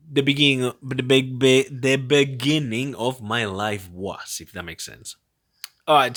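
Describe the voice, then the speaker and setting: Halting man, bright voice. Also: medium pitch. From born in Peru, grew up in Spain. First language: Spanish. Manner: halting and rambling, frequent self-repetition. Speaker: podcast host. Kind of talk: casual solo podcast monologue.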